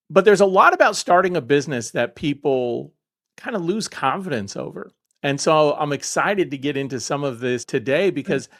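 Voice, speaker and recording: A man hosting a business podcast, chatting casually and introducing his guest, with frequent hesitations. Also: medium pitch. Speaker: podcast host. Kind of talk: interview conversation.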